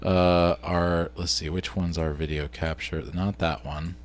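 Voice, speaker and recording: Low-pitched man, casual vlogging. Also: flat delivery, a little slow, soft background music. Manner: informal, thinking aloud while working. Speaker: vlogger and video editor. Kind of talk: casual screen-share vlog narration.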